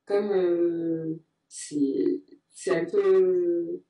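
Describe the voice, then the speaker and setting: A woman's voice: lowish voice, fairly even intonation, very slow, warm tone, roomy sound, recorded from a distance, rough recording. A woman teacher talking casually in an interview, hesitant with many fillers. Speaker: French teacher, interview guest. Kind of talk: casual conversation.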